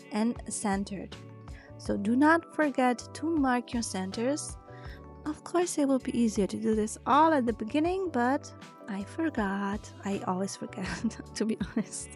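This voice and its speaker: Female tutorial host, native German speaker with German accent, mid-pitched voice, casual step-by-step instruction over music. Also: a little mellow. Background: background music.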